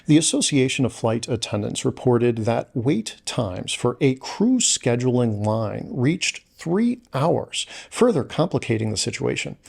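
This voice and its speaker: A man reading scripted news as a podcast host, with formal, measured phrasing. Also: mid-range voice, gravelly, darkish tone, breathy edge.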